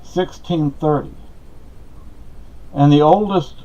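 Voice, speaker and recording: A man's voice: on the high side, takes it slowly, mellow. A middle-aged man gives a casual, rambling monologue, conversational and full of asides and personal anecdotes. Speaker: podcast host giving personal updates. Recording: dull lo-fi audio.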